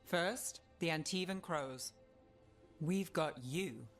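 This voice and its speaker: Cool, clipped detective. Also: female voice, sits low, takes it slowly, soft background music. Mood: businesslike. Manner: businesslike, clipped, confident. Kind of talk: video game dialogue acting.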